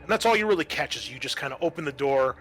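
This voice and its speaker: A man running a tabletop game, describing scenes in a halting, conversational way. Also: medium pitch, lively intonation, fairly bright tone. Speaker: game master. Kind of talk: improvised tabletop game narration.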